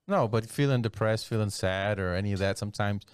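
A man's voice: on the low side, brisk, smooth, no echo. A married man in casual banter, earnestly explaining his views. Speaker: married friend.

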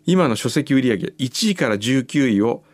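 Lively male radio host, dry close sound. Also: mid-range voice, normal speed, no room sound. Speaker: radio show host reading listener messages. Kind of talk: casual radio chat and letter reading.

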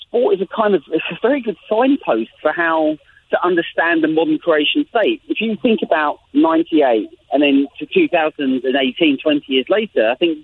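A man's voice: a little high, moderate pace, slight background noise. An English-accented man, a guest journalist talking animatedly in an interview, often restarting his sentences. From England. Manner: animated, frequent restarts and repetitions. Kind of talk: interview over phone line. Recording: tinny phone line.

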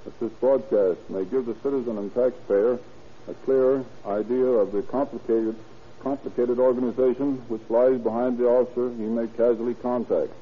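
Male police chief reading a formal radio speech: husky, stiff, solemn, on a phone-thin vintage recording. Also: mid-range voice, phone-quality sound. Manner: stiff, solemn, deliberate phrasing. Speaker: police chief of detectives, guest speaker. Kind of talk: formal scripted radio address.

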